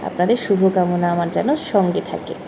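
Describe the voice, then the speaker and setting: A woman's voice: lowish voice, little pitch movement, fairly quick, gravelly, background noise. A woman giving an informal reflective monologue, chatting expressively and addressing listeners directly with rhetorical questions. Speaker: spoken-word content creator.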